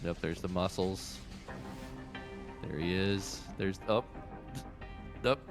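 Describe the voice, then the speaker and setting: A man's voice: deep voice, takes it slowly, faint music behind. A man co-hosting a gaming podcast, chatting casually in a teasing, colloquial, joking way. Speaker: podcast co-host. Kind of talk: casual banter about video games.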